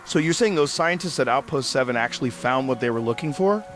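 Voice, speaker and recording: An actor as probing male talk show host, medium pitch. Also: expressive, fast, a bit bright, faint music behind, lightly acted. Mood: skeptical. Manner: probing, conversational. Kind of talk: talk show interviewing in audio drama.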